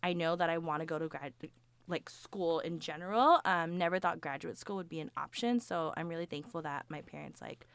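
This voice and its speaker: A woman's voice: deep voice, a little fast, gravelly, fairly bright tone, clean tone, no echo. A young woman from California, a podcast guest, answering questions earnestly in casual talk with many fillers.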